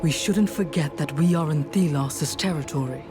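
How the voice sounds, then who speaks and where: A woman's voice: low voice, normal speed, music in the background, close to an everyday voice. A woman playing a resolute outcast hero in a fantasy video game, matter-of-fact and dry-witted. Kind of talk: video game dialogue acting.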